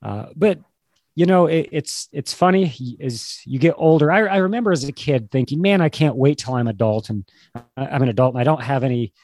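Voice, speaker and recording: Guy with a head cold chatting on a podcast, dry close sound, kinda halting and earnest. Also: medium pitch, a little mellow, dry recording. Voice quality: slightly stuffy, congested. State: struggling with a cold. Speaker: podcast co-host. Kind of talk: casual conversational podcast talk. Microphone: video call.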